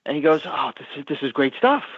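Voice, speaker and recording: A man's voice: fairly high pitch, very animated, quick pace, slightly rough, no room sound. A middle-aged man with a Long Island accent, calling in to a show, easygoing and full of fillers. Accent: Long Island New York. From Long Island, New York. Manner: easygoing, many fillers, repeats words. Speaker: caller. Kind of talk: phone call-in chat. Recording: tinny phone line.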